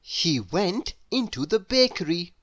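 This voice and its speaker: A woman reading a children's story aloud in an expressive, deliberate, theatrical way. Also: fairly low pitch, no echo. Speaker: storybook reader.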